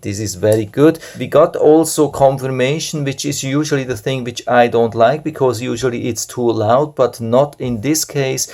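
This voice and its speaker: A man with a low, mellow voice narrates a product review in casual. His pitch stays quite flat and there is a slight creak in the tone.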